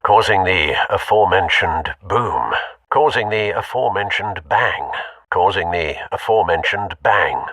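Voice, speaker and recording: Husky, refined older British butler. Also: male voice, low-pitched, lively intonation, a little slow, fairly bright tone, dry recording, recorded from a distance, heavily acted. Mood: dry, attentive. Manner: formal, dry, understated. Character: refined, loyal, concerned older butler man. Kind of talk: video game voice acting.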